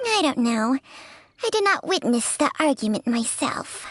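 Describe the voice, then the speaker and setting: A worldly female serpent from a video game gives knowing, formal counsel with a chiding edge. Her voice is high and swoops expressively, with music underneath. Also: very animated, a little slow. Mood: matter-of-fact. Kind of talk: video game character dialogue.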